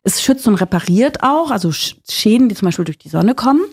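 A woman's voice: mid-range voice, animated, a little mellow, husky. Breezy woman doctor explaining nutrition. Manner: brisk, informal, explains with jokes. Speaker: nutrition doctor and author, guest. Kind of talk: explanatory interview talk.

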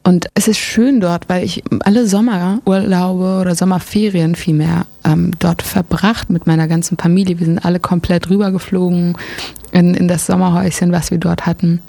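Gravelly-voiced woman, relaxed and hesitant. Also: lowish voice, moderate pace, no echo. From Germany. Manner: relaxed, hesitant with frequent fillers. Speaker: musician guest. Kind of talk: casual interview talk.

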